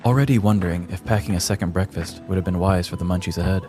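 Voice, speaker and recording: Casting: male storyteller, low voice, measured and theatrical, comic fantasy narration over prominent music. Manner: mock-epic, theatrical, measured. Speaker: podcast host and storyteller. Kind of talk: dramatic scripted comedic fantasy narration.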